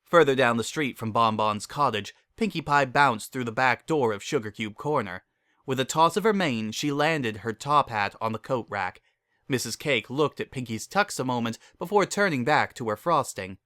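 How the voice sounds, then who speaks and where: A man giving an expressive, animated dramatic reading as a story narrator. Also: medium pitch, moderate pace, no room sound.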